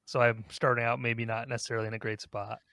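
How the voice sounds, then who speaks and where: A man's voice: medium pitch, flat delivery, quick pace, creaky, bright, forceful tone, dry recording. A man hosting a podcast countdown in casual conversation, moving the discussion along. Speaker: podcast host leading a ranked countdown. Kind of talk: casual chat.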